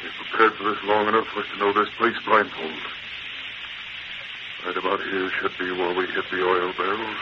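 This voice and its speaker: Actor playing a deep-voiced, cold-blooded gang boss. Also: male voice, flat delivery, normal speed, natural voice. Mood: impatient, commanding. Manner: commanding, menacing, gloating. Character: ruthless gang boss saboteur, cold and scheming. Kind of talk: old-time radio drama acting. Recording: muffled, noisy vintage radio recording.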